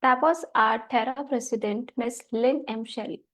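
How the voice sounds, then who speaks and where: A woman's voice: fairly high pitch, normal speed. A woman moderator introducing conference speakers in a formal announcing manner.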